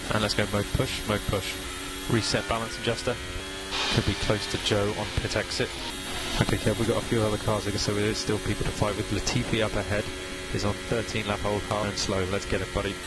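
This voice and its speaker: A man working as a race engineer, giving terse, calm, businesslike briefings to a driver over team radio. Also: fairly low pitch, fairly quick, over loud music. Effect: team radio transmission. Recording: very noisy team radio with engine and vehicle noise.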